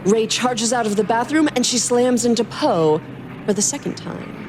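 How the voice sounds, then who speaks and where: A woman's voice: a little high, lively intonation, moderate pace, background noise. A woman co-hosting a podcast, moving between banter and narrating stories aloud. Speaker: podcast co-host and musician.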